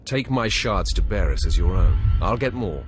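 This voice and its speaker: A man's voice: low-pitched, big pitch swings, normal speed, noisy background. An actor playing a self-assured male Sith acolyte in a video game, terse and controlled, with music underneath. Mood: cool. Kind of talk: scripted video game drama.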